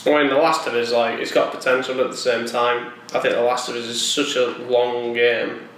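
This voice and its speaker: A man's voice: mid-range voice, flat delivery, fairly quick, fairly bright tone. A man chatting casually as a co-host, rambling and often repeating his words as he feels for what to say. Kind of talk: casual chat about films and games. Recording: very echoey room, distant mic, faint noise.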